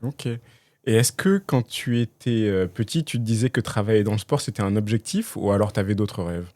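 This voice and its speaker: A man hosting a podcast, reading a scripted intro and asking measured interview questions. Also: on the low side, a little mellow, dry recording. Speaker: podcast host.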